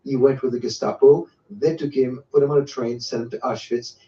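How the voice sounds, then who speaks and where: A man's voice: mid-range voice, steady tone, dark tone. French-accented man in his sixties, faltering. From France. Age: 60s. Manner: halting, with many repeated words and restarts. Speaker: guest. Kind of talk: personal testimony in an interview. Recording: echoey, distant, dull lo-fi sound.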